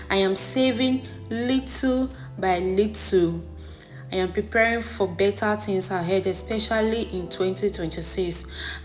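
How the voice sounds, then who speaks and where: A woman vlogger, a wig maker, telling her personal story in a voice-over. She speaks earnestly and encouragingly and repeats phrases for emphasis. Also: mid-range voice.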